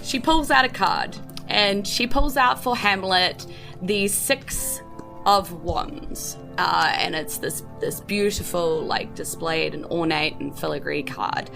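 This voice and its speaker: A woman game master improvising narration for a tabletop game in a casual, halting, descriptive way. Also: fairly low pitch, gravelly, music in the background, rough recording.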